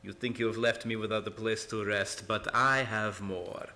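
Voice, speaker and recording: An actor playing a menacing, gloating vampire count in radio horror style, with a taunting, deliberate delivery. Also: male voice, lowish voice, steady tone. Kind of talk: old-time radio horror acting. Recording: loud music under the voice.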